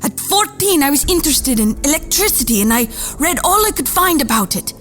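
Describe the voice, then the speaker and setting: A woman's voice: high voice, very animated, brisk, a bit bright. A woman playing an earnest, sensitive adolescent boy genius, confiding his story in a radio drama. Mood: proud, eager. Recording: faint music behind the voice. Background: faint music.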